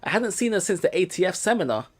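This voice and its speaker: A man's voice: high-pitched, very animated, fast talker, noisy background. A man hosting a let's play, reading narration aloud and adding casual commentary, with music behind.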